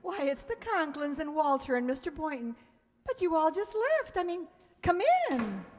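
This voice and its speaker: A woman playing a wry, lovelorn high school English teacher in an old-time radio sitcom, theatrical in delivery. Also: animated, moderate pace. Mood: brisk. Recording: long hall reverb.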